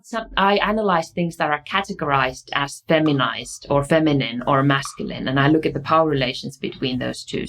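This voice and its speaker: A woman with a Finnish accent gives a lecture in a low voice. She talks thoughtfully and often pauses on fillers and restarts her phrases as she searches for the words. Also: a bit bright. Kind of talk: explaining lecture.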